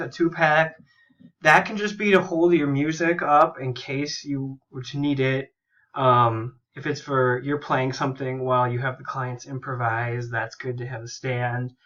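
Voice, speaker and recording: A young man, a music therapy student, gives a casual class presentation while recovering from a bad cold, often halting and restarting his sentences. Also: mid-range voice, a bit bright. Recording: dull lo-fi recording.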